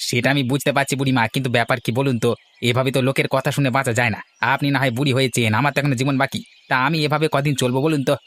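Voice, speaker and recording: A man's voice: mid-range voice, level tone, very fast, a bit bright, lightly acted. A man voicing a grumbling but dutiful young village helper in a cartoon, with an exasperated, complaining delivery. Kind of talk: cartoon voice acting.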